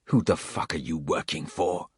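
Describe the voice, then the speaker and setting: Guy doing a tough soldier interrogating someone, low husky growl, slow. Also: no echo, studio quality, heavily acted. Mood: menacing. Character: hard, menacing male soldier interrogator.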